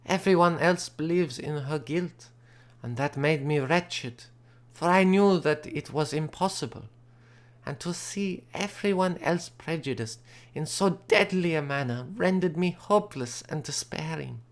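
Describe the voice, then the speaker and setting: A man's voice: fairly high pitch, unhurried, pitched above the performer's own voice. A man reading aloud and voicing an earnest, anxious young woman who pleads with feeling. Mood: earnest pleading.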